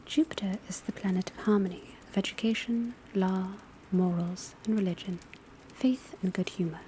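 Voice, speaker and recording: A woman giving a soft, breathy, mostly whispered ASMR classroom lecture in a measured, deliberate, instructive way. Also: medium pitch. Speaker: ASMR performer playing an astrology class teacher. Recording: steady ambient noise of rain and fireplace. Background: crackling fireplace, rain on rooftop, pencil writing.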